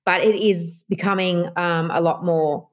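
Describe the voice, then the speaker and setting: A woman's voice: lowish voice, fairly even intonation, unhurried. A woman with an Australian accent and a nasal voice, chatting as an interview guest with many fillers and restarts. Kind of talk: casual interview chat.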